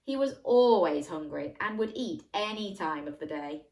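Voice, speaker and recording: A woman reading a children's story aloud, animated and playful, breaking off to ask the listener questions. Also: fairly low pitch, moderate pace. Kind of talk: interactive bedtime story reading for young children.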